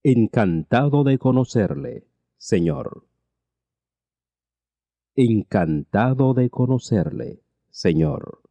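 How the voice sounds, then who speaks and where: A man teaching a language lesson, reading each phrase slowly and repeating it twice. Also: mid-range voice, expressive, warm tone, dry recording. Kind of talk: slow repeated translation drills.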